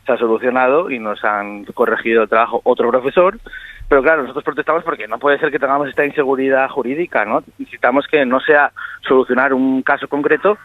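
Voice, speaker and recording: A man from Asturias, heard as a guest on a tinny telephone line. He explains things calmly and briskly at a quick clip, with light chuckles. The sound is dry and boxy, as if piped straight from the handset. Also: medium pitch, no echo. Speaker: radio interview guest. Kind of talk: phone interview.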